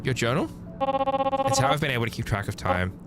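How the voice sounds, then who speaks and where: A man's voice: medium pitch, huge pitch swings, fairly quick. A man streaming a game, reading visual novel text aloud and dropping in casual, playful comments. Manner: playful asides, sung filler syllables. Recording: loud music behind the voice.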